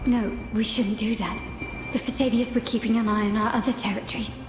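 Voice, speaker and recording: Woman doing a guarded crime family girl, game character, measured, big echo on it, clear music behind. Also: fairly high pitch, steady tone, lightly acted. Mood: resolute, measured. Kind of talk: video game voice acting. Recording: echoey long reverb, music behind.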